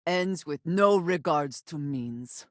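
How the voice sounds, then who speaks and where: A serious guarded space companion lady for a game, lowish voice, measured n formal, super dry sound. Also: lively intonation, no room sound. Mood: grave. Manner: measured, formal phrasing with few contractions. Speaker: video game voice actress. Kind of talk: scripted game character voice lines.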